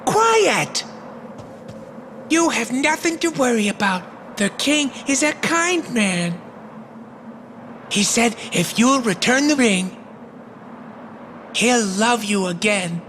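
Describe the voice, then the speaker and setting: A woman's voice: fairly high pitch, sing-song, slow pace, long pauses, fairly bright tone, exaggerated voice. A woman playing a sly, smug, bullying henchman in a video game, with a taunting, wheedling manner. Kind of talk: anime-style video game voice acting. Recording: clear background noise and ambience.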